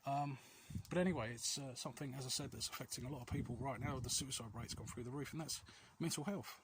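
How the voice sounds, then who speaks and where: A man with a mid-pitched voice records a casual walking vlog outdoors, with clear noise and clicks around him. He talks in a hesitant, rambling way, confiding in listeners and putting himself down a little. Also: quick pace. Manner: hesitant, rambling, self-deprecating. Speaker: vlog host. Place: outdoors, quiet street and alleys.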